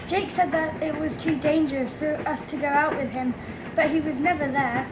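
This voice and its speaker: A woman's voice: fairly even intonation, moderate pace, natural voice. A woman playing a small, stubborn girl in a radio play, speaking in a simple, plaintive, childlike way. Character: Lucy, small stubborn girl attached to her teddy. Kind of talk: amateur radio play acting. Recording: noisy, train carriage rumble behind.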